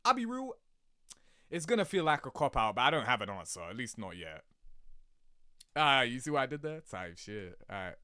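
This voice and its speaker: A man's voice: mid-range voice, sing-song, moderate pace, long pauses, bright, forceful tone, dry recording, studio quality. A young man giving casual, slangy reaction commentary as a gaming host, with swearing and verbal tags. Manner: slangy, swearing, repeats "you know what I mean".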